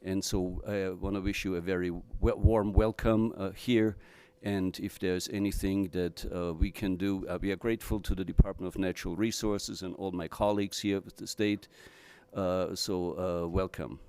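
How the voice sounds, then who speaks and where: An older man from Austria who gives an informal welcome speech in a halting, hesitant manner. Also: low-pitched, level tone, darkish tone, smooth. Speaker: state trade director.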